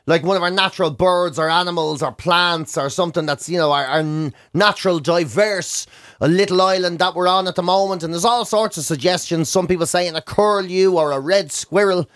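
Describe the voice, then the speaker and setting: Irish male co-host, Dublin accent, high voice, comic ranting storyteller for radio banter. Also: fairly bright tone. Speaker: radio breakfast show co-host.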